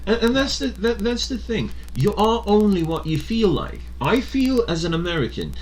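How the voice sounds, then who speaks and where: A man's voice: sits high, big pitch swings, normal speed, slight background noise, low-quality recording. A young man from Sweden hosting a casual podcast chat, talking bluntly, swearing freely, and hammering his points home.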